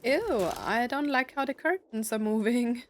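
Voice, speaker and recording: A woman's voice: a little high, animated, unhurried. A young adult woman giving casual let's play commentary, musing aloud with jokey asides. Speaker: gaming streamer.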